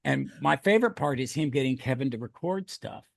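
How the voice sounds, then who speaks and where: A man's voice: mid-range voice, animated, fairly quick, gravelly, dry recording, clean studio sound. A man hosting a podcast in casual chat, with informal, choppy phrasing. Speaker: podcast host.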